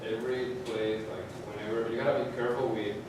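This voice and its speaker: A man rambles easily in a panel talk, dropping plenty of fillers along the way. He sounds distant from the microphone. The large room rings heavily around him, and steady background noise sits under his voice. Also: medium pitch, fast talker, very echoey. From Guatemala. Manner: chatty and rambling, many fillers, laughs often. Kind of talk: casual panel discussion. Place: large echoey lecture room. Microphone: far room microphone.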